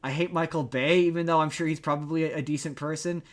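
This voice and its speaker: Male podcast co-host, slightly high voice; rambling, emphatic, excitable pop-culture rants, stumbles over words, swears freely. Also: fast talker. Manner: casual swearing. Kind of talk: casual pop-culture chat and ranting.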